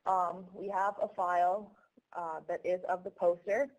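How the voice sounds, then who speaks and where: A young woman with a slightly low voice presents as a student on a conference call. She explains her material in a semi-scripted way. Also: level tone, unhurried, low-quality recording. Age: young adult.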